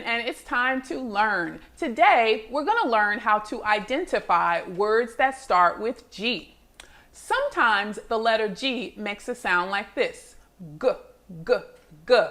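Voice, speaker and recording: A woman teacher giving a read-aloud lesson for young children, with clear instructional delivery and expressive storybook narration. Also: a little high.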